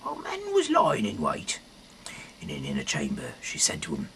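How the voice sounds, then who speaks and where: A man reading scripture aloud in a halting, rambling way, often correcting himself and dropping in casual asides. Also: rumbling low, huge pitch swings, fairly bright tone, slight background noise. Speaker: amateur reader of a Bible audio series.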